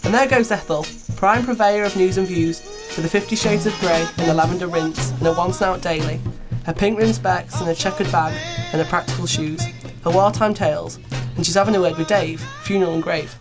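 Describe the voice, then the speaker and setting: A woman's voice: lowish voice, fairly quick, music in the background. A woman reading poetic scripted narration for a radio play.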